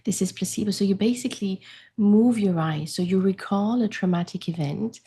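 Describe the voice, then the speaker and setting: A woman's voice: mid-range voice, normal speed, breathy edge. A woman in her forties, a grief coach, explaining things earnestly in a podcast interview. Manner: earnest, animated when explaining.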